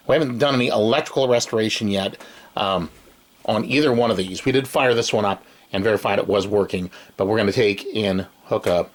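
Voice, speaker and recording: A middle-aged man giving a casual, unscripted hands-on demonstration at a workbench, recorded on a camera microphone in a small room. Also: fairly low pitch. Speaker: hobbyist electronics restorer. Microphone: camera microphone at a short distance. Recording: noisy amateur camcorder room recording.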